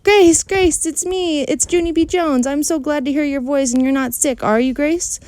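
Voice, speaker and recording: Storybook kindergartner, acted by a woman: high, emphatic, excitable, blunt and bossy, with childish grammar. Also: moderate pace, no echo, close to an everyday voice. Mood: urgent pleading. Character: excitable, bossy little kindergarten girl telling her story.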